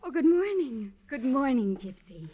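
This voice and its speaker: High-pitched, cooing, flirtatious radio widow. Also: female voice, sing-song, a little slow, background noise. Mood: welcoming, flustered. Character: coy, flirtatious widow, breathlessly admiring, faintly menacing. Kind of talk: 1950s radio drama acting. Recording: muffled vintage radio recording.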